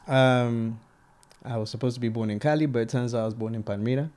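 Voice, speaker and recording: Young man, smooth voice. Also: medium pitch, fast talker. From Colombia. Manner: relaxed, rambling, laughs often. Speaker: interviewee. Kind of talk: casual interview chat.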